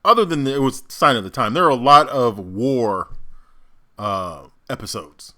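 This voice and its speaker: A man's voice: mid-range voice, lively intonation, a little slow, sharp tone. A man hosting a reaction video, giving casual commentary and thinking aloud in loose asides and interjections.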